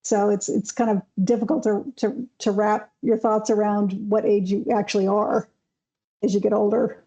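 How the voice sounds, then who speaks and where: A woman in her thirties co-hosting a podcast, explaining things in a calm, matter-of-fact way in casual chat. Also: medium pitch, moderate pace, poor audio. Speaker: podcast co-host.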